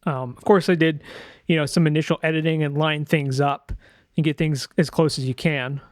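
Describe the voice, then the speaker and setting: A man's voice: on the high side, fairly even intonation, moderate pace, no echo, clean studio sound. A man giving an informal sound design tutorial, thinking aloud in a hesitant way as he demonstrates. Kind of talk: informal screen-share tutorial narration.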